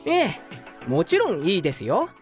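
A woman's voice: on the low side, wildly swooping pitch, normal speed, faint music behind. A woman playing an earnest, delusional young male office geek in a comedy radio drama, polite yet pompous and theatrical. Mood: smug, polite.

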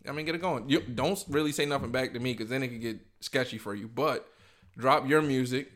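A man's voice: mid-range voice, brisk, fairly bright tone. Laid-back slangy man chatting. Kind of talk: casual podcast chat about music.

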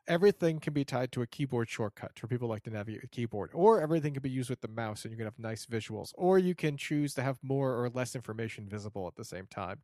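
A man's voice: mid-range voice, darkish tone, no room sound, clean studio sound. A man hosting a casual conversation who sums up what his guest says and jokes around a little.

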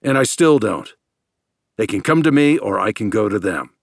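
A man's voice: on the low side, expressive, moderate pace, gravelly, dry recording, studio quality. A man voicing an agitated, suspicious space scientist in an audiobook, insistent and accusatory, verging on hysteria. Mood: accusing.

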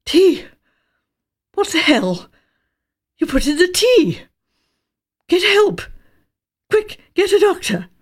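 A woman's voice: extremely high pitch, very animated, slow, pauses often, voice pushed up, heavily acted. A woman reading an audiobook as a large male boss in agony, barking in jerky, urgent gasps. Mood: agonised, frantic. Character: large flabby businessman in agony, gasping and barking.

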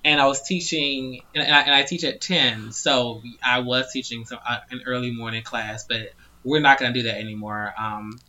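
An upbeat, chatty man co-hosting a podcast, his voice bright and punchy. The audio is slightly dull and muffled. He talks at a middle pitch. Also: fairly even intonation, normal speed. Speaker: podcast co-host, yoga teacher. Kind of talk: casual podcast chat.

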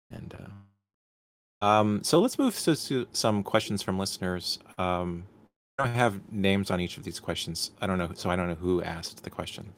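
Deep-voiced male moderator. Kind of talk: informal moderating, reading out questions.

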